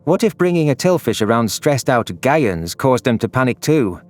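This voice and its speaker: A man's voice: mid-range voice, sing-song, moderate pace. Male sci-fi story narrator over music. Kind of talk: scripted dramatic narration. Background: background music.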